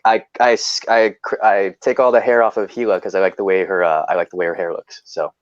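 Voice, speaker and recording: A man guesting in a casual chat, explaining his methods in a rambling, halting way, full of fillers and restarts. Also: low voice, level tone, no room sound, highs cut off. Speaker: guest customizer.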